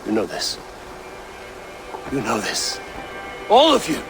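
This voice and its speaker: A fierce Viking chieftain. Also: male voice, mid-range voice, huge pitch swings, slow, over music, exaggerated voice. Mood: rallying. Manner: solemn, commanding, oath-swearing. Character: grim male Viking warrior chieftain, proud, fierce. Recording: game audio with noisy background.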